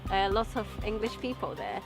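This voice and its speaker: A woman's voice: medium pitch, sing-song, normal speed, gravelly. Woman from Hong Kong, plain and simple. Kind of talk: interview testimonial. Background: music.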